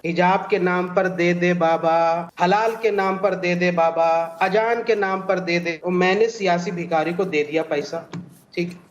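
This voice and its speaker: A man giving rhetorical political commentary as a panelist, like a storyteller using repeated refrains. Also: high-pitched. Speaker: debate panelist. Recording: dull, noisy remote link audio.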